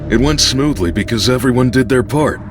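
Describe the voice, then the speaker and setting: An actor playing a composed but secretly scheming strike squad leader in a game, speaking with measured authority. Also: male voice, medium pitch, background noise, lightly acted. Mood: composed, reassuring. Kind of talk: video game cutscene acting. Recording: loud music under dialogue.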